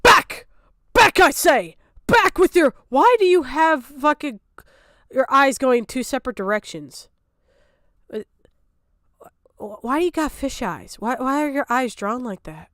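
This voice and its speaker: A woman's voice: sits high, lively intonation, measured pace, long pauses, a bit bright, no room sound, clean studio sound. A woman streaming unscripted reaction commentary, exasperated, sarcastic and prone to swearing.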